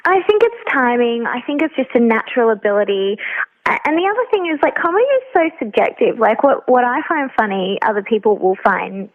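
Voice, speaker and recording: A woman's voice: a little high, big pitch swings, fairly quick. Woman on a thin phone line. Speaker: interview guest, actor. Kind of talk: casual conversational interview. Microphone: phone call.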